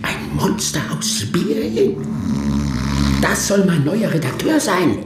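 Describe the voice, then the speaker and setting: A brusque newspaper boss in a radio play, mocking and dismissive. His mid-pitched voice swings widely as he grumbles. The sound is roomy, with loud music behind. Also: slow pace, exaggerated voice. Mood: grumbling, bossy. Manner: animated, muttering, dismissive. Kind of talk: German radio play dialogue.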